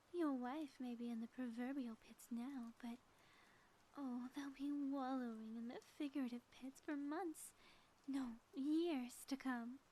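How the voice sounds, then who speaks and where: A woman voicing a theatrical, gloating female supervillain in an audio roleplay, teasing and grandiose with dramatic pauses. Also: sits high, dark tone, a little hiss or hum, low-quality recording. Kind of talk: scripted audio roleplay, ASMR.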